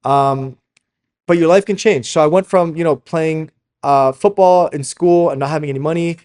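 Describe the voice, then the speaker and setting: Higher-pitched motivational man. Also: normal speed, darkish tone. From Slovakia, moved to Canada as a young child. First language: Slovak. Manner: emphatic, addresses listeners as guys, constant tag questions. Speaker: fashion brand founder and coach. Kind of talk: informal motivational sales training video.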